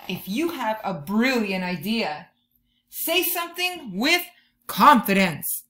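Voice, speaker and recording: A woman in her thirties doing an expressive children's read-aloud, drawing out key words like a teacher talking to young listeners. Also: a little high, slow pace, slight background noise. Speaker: teacher reading a picture book to children. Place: bedroom or room at home, indoors.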